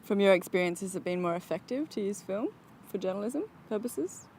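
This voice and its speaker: A woman's voice: medium pitch, expressive, brisk, a little mellow, a little hiss or hum. A woman hosting an interview, introducing herself and asking short, direct questions in a casual way. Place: outdoors overlooking the ocean.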